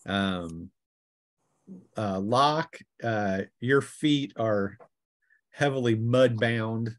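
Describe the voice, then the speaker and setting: A man with a fairly low voice acts as game master for a tabletop roleplaying session. He improvises the narration as he goes. His delivery is halting, with pauses as he searches for the next detail. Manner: halting, trailing pauses while improvising descriptions.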